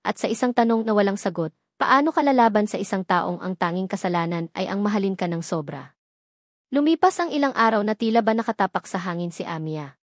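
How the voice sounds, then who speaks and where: Woman reading out a romance drama, steady and solemn, middle pitch, dry close audio. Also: no room sound. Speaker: storyteller. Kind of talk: scripted dramatic narration.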